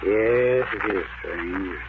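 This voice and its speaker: Morgue attendant on tinny, scratchy old radio. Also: male voice, deep voice, very slow, heavily acted. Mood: matter-of-fact, solemn. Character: matter-of-fact morgue attendant showing unidentified bodies. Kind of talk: 1940s radio acting.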